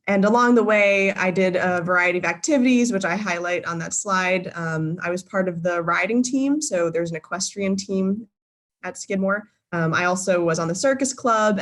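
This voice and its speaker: Young woman in her twenties, lower voice, casual guest talk full of fillers. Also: moderate pace. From Boston, Massachusetts. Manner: friendly, many filler ums and uhs, self-correcting. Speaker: guest speaker. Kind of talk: casual presentation to a live virtual audience. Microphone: video call.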